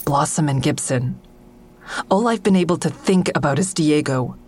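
A woman's voice: deep voice, expressive, normal speed, darkish tone, husky. An actress playing a guarded, agitated, sardonic woman who narrates and speaks in an audio drama. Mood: tense, brooding. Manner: sardonic, exasperated. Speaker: narrator and lead character. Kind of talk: first-person audio drama narration and dialogue.

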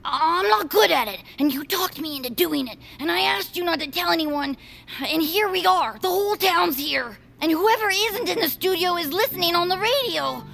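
Anxious, very high-voiced reluctant contestant. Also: female voice, expressive, normal speed, vocal fry, fairly bright tone, heavily acted. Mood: upset, pleading. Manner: hesitant, pleading. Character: anxious, reluctant female word-game contestant, hesitant and exasperated. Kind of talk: audio fiction acting.

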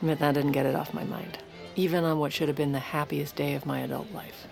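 A wry, brisk first lady in an audio drama, lowish voice, music underneath. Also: steady tone, vocal fry, darkish tone. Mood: reflective, wry. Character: sharp, brisk, resolute president's wife narrating her story. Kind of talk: dramatic first-person narration and dialogue.

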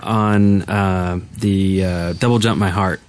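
Lower-pitched man chatting on a podcast. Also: fairly even intonation, slow pace. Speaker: podcast co-host. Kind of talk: casual chat.

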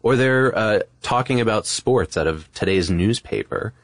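A man with an American accent and a low-pitched voice, recorded dry and close. He talks as a podcast co-host, informally explaining things, often circling back on a phrase as he thinks aloud. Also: lively intonation, normal speed, no echo. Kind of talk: casual conversational explainer.

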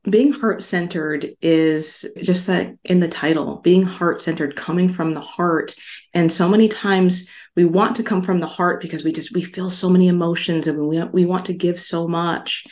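A middle-aged woman from Hawaii, a podcast guest speaking in a calm, soft, unhurried way. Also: on the low side. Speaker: podcast guest, stress relief author. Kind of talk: calm interview talk.

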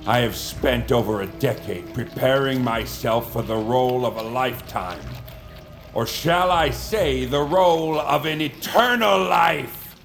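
A man's voice: mid-range voice, a little slow, gravelly, fairly bright tone. Grand theatrical villain actor, super formal n pompous, takes his sweet time, music behind him. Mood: gloating, grandiose. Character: pompous theatrical method actor, secretly a villainous vampire familiar. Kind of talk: audio drama acting.

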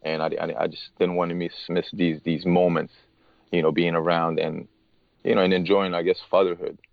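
Guy, tennis coach, very low voice, keeps restarting words, dry flat sound. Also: vocal fry, no echo, lo-fi sound. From Morocco. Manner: halting, often restarts and repeats words. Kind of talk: casual interview talk.

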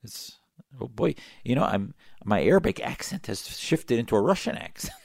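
Gravelly man delivering combative commentary. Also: mid-range voice, big pitch swings, moderate pace, darkish tone, no room sound, studio quality. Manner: emphatic, sarcastic, combative. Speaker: political commentator. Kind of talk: opinionated talk-show commentary.

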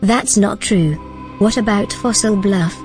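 Female actor as calm, playful helper in audio drama, medium pitch, composed delivery, light music underneath. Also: measured pace, natural voice. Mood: reassuring. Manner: composed, matter-of-fact.

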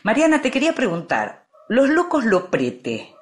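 A woman with a Rioplatense Spanish accent, interviewing in a conversational, halting way as she thinks aloud. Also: fairly high pitch, huge pitch swings.

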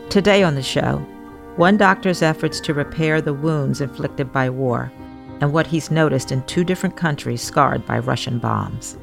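A woman hosts a news podcast in a very low voice with plenty of vocal fry. She moves between scripted narration and interview questions. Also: moderate pace, faint music behind. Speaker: guest podcast host.

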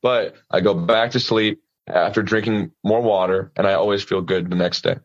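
A man's voice: on the low side, steady tone, normal speed, muffled recording. A male singer talking casually and earnestly about voice care, often restarting his sentences as he gives practical advice. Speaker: singer, guest. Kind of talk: casual conversation.

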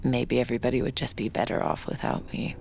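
An actress playing an anxious, wounded adult daughter in an audio drama. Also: female voice, rumbling low, flat delivery, quick pace, vocal fry, over music. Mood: brooding.

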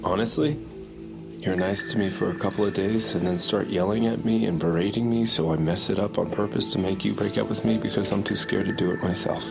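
An actor playing an anxious, insecure slacker boyfriend with a flustered, slightly whiny manner in a comedic audio drama. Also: male voice, lowish voice, steady tone, brisk, vocal fry, music in the background. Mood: wounded, defensive.

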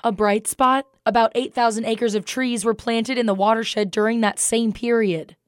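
A woman's voice: a little high, steady tone, moderate pace, no echo, studio quality. A woman with an American accent reading a scripted radio newscast as the anchor. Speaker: news anchor.

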